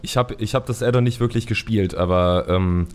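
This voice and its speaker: A man's voice: lowish voice, moderate pace, clear, resonant tone. A man hosting a casual gaming podcast, opinionated and colloquial, repeating words as he goes. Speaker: podcast host.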